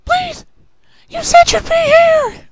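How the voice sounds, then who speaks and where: A man voicing a young female unicorn filly in a dramatic reading, frightened but brave and crying out with high drama. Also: fairly even intonation, slow, very noisy. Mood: desperate pleading.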